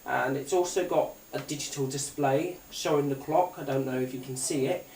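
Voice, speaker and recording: A man's voice: medium pitch, moderate pace, darkish tone. A British man giving a casual, unscripted product demonstration in a hesitant, rambling manner. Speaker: gadget reviewer. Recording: roomy, distant mic, faint noise.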